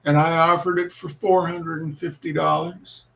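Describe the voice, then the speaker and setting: Male host, high voice with some fry, theatrical and emphatic, for comic readings and informal audience chat. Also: little pitch movement, moderate pace, a bit bright, low-quality recording. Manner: showman-like, emphatic, repeats phrases. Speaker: host reading humour pieces.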